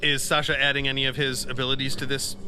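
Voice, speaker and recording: Guy playing an rpg, higher bright voice, casually talking plans, noisy ambience behind him. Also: level tone, fast. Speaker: tabletop role-playing player. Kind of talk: casual improvised game chat.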